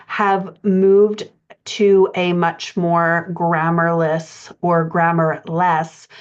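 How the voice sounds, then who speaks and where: A woman's voice: on the low side, muffled recording. A middle-aged American woman giving an informal explanatory podcast lesson as a writing coach, thinking aloud in a hesitant way. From United States.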